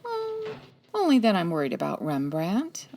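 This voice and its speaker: A woman playing a wry, quick-witted 1940s private detective in a comedy audio drama, with an arch, teasing manner. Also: lowish voice, wildly swooping pitch, vocal fry, background noise, exaggerated voice. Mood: coy, teasing. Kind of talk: comedy audio drama acting, old-time radio detective.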